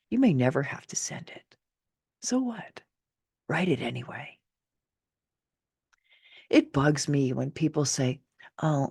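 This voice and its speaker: A woman's voice: sits low, vocal fry, dark tone, no room sound. Woman author, middle-aged, narrating her essay with emphatic motivational punch; close, dry recording. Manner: emphatic, theatrical, motivational delivery. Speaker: author reading own essay. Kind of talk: expressive scripted narration of a personal essay.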